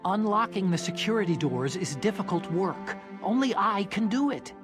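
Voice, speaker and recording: An actor playing an earnest, excitable young American scientist ally in a video game. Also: male voice, high voice, normal speed, music in the background. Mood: earnest resolve. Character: nerdy earnest young male scientist ally, newly resolute. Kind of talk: dramatic video game radio-call acting.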